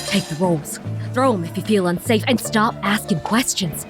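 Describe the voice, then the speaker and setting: A woman's voice: mid-range voice, lively intonation, normal speed, over music, close to an everyday voice. A woman playing a frightened, brainwashed steakhouse waitress in a comedy sketch, with forced cheer and an ominous, urgent manner. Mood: fearful, insistent.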